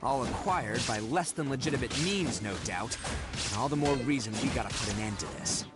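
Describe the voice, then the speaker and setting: A man's voice: mid-range voice, lively intonation, fairly quick, over loud music, natural voice. A man playing a cocky, boisterous young brawler hero in an anime-style video game, brash and slangy. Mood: determined.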